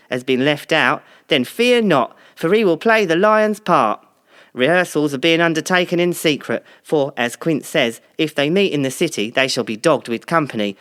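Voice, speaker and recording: A man playing a grandiose newspaper theatre previewer, reading a scripted piece in a theatrical, deliberate way. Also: fairly high pitch, a bit bright, clear, resonant tone, dry recording, studio quality.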